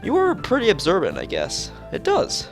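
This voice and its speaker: Man reading as a blunt deadpan schoolgirl. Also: high voice, normal speed, over music, voice pushed up, exaggerated voice. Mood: flat, blunt. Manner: deadpan, straightforward. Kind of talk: acted visual novel dialogue.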